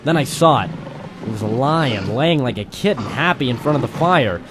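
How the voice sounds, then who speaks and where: A hardboiled private eye played by a man tells his case in a wry, dramatic voice of middling pitch. The delivery is old-time noir radio storytelling, with music playing underneath. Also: expressive, normal speed, lightly acted. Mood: brooding.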